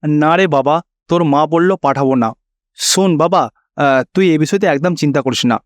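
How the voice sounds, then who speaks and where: A man voicing a kind old pensioner father with a gentle, reassuring manner in a cartoon. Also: fairly high pitch, moderate pace, dry recording, lightly acted.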